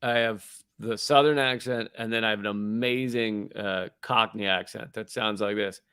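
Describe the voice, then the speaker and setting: A man's voice: lowish voice, steady tone, moderate pace, sharp tone, clean tone, dry recording, studio quality. A man co-hosting a comedy podcast, rambling in a wry tone and laughing between lines. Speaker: podcast co-host. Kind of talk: casual comedy chat.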